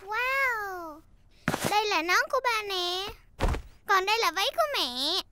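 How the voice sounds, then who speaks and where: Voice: very high-pitched, lively intonation, measured pace, noisy background. A performer voicing a cheerful, bossy little girl piglet who plays at being grown-up, in a children's cartoon dub. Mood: excited. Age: child character. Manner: bossy, playful, giggly. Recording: music behind the voice.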